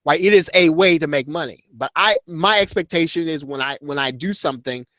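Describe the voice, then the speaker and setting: Halting, rambling man with a dry sound. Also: a little high, a bit bright, dry recording. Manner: rambling, many restarts and fillers. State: losing his voice. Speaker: host of an online marketing show. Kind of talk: casual live-stream talk to viewers.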